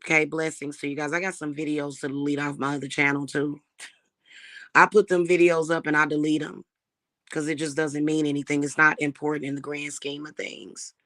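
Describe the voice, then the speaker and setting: A woman talks straight to her viewers in a loose, chatty way. Her voice is slightly hoarse, and her tone stays defiant and unbothered. She calls the audience "y'all" throughout. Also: low voice, level tone, a little fast, a bit bright. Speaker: spiritual reader and channel host. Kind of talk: casual talk to viewers.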